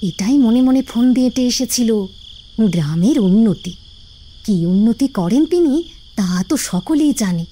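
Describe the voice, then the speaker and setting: A woman's voice: a little high, lively intonation, measured pace, mellow, slight background noise. A woman playing a cynical village wife in a cartoon, grumbling with open sarcasm. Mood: scornful. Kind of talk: cartoon voice acting.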